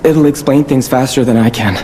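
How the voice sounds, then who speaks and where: A man's voice: mid-range voice, brisk, noisy background, natural voice. An actor playing a timid, nervous male pharmacist in a video game, hesitant and rambling. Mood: nervous, apologetic.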